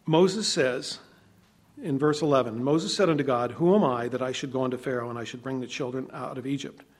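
A man preaching a sermon, reading scripture aloud and explaining it conversationally, shifting between loud emphasis and quiet asides. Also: mid-range voice, brisk, husky. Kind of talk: sermon, Bible teaching.

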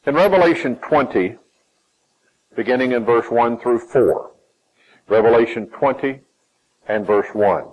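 A man with a Southern US accent preaching a sermon, emphatic, with his pitch climbing and falling and some phrases drawn out. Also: animated, unhurried, pauses often, darkish tone, slightly rough, poor audio.

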